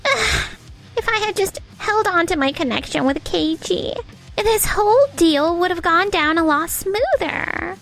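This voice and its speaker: A woman voicing a spoiled, scornful young ex-fiancée, snippy and dramatic with a gravelly edge. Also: very high-pitched, lively intonation, measured pace, fairly bright tone, soft background music, exaggerated voice, rough recording. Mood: distressed.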